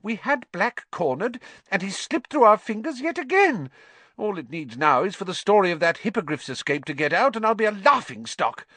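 A man's voice: on the high side, huge pitch swings, normal speed, hardly any pauses, no room sound, voice pushed up. A man voicing a blustering, flustered older male government official, puffing and indignant. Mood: flustered complaint.

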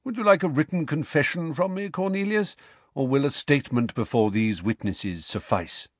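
A man voicing an old headmaster wizard from a storybook, calm, pleasant and politely wry. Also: fairly high pitch, moderate pace, no room sound, pitched above the performer's own voice, natural voice. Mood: resigned, courteous. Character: old male headmaster wizard, serene, courteous, quietly amused.

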